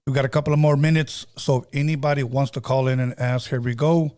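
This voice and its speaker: A man's voice: medium pitch, fairly even intonation, quick pace, darkish tone. A man hosting a call-in radio show, upbeat and slangy as he takes callers. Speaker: host.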